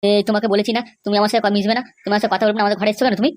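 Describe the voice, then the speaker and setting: A woman's voice: medium pitch, little pitch movement, rapid-fire, a little mellow, lightly acted. A woman voicing an irritable, anxious young groom-to-be in a comedy cartoon, scolding in an exasperated way. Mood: indignant.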